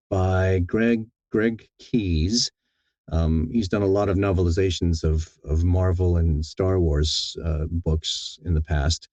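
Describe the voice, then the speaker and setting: Deep, mellow man chatting in interview. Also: no echo, lo-fi sound. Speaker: audiobook narrator being interviewed. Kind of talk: casual interview chat.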